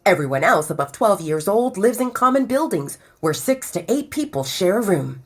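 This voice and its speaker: A woman narrator voicing young werewolf men in a lowered voice. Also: very animated, normal speed, slightly rough. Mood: grumbling, matter-of-fact.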